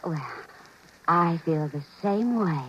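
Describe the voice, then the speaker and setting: A coy, flirtatious secretary. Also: female voice, on the low side, animated, slow, fairly bright tone. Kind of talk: 1950s radio drama acting. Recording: muffled vintage radio broadcast with faint hiss.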